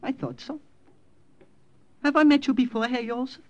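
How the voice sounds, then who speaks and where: A zealous, suspicious thirteen-year-old boy, played by an actor in an old radio drama. The voice is very high, with a proud, insistent, earnest manner. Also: moderate pace, natural voice. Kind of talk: 1950s radio drama acting. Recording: old-time radio recording.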